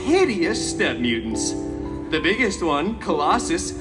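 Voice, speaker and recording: A man's voice: on the high side, sing-song, slow pace. A man playing a cheeky, chatty masked antihero who tells stories theatrically with sarcastic asides at a live show, heard through a distant PA. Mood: sarcastic, gossipy. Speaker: theme park show performer. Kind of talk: live comedic storytelling show for a crowd. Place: live outdoor theme park stage. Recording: distant PA sound with loud music. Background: loud music.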